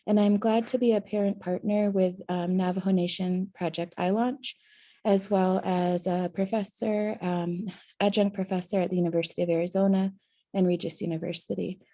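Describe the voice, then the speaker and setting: A slightly hoarse woman opening a webinar presentation, talking earnestly with pauses and "um" fillers. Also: medium pitch, fairly even intonation, mellow.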